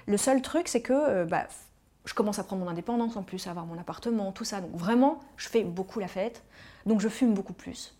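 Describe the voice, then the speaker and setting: A woman's voice: mid-range voice, fairly quick, dark tone. A woman, candidly telling her own life story with frequent fillers. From Paris, France. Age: 30s. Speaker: tobacco cessation specialist telling her story. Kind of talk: personal storytelling podcast.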